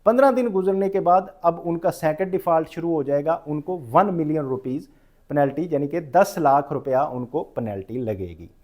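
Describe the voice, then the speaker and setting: Pakistani guy teaching like a lecturer, slightly high voice, explains and repeats points. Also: normal speed, a little mellow. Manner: didactic, repeats and restates each point for emphasis. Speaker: tax lecturer. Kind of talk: explanatory lecture, reading rules in English and explaining them.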